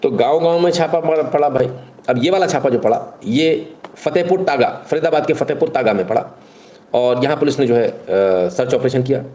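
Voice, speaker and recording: A male live-show host with a medium-pitched, dark, relaxed tone. He delivers opinionated news commentary in an emphatic, colloquial way, throwing rhetorical questions at his audience and slipping into English phrases. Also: brisk, a little hiss or hum.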